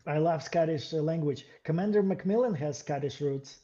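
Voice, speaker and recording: A man from Western Ukraine, talking in a halting, hesitant way with many fillers, in a casual livestream chat. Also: fairly high pitch, dull audio, a crowd in the background. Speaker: co-host.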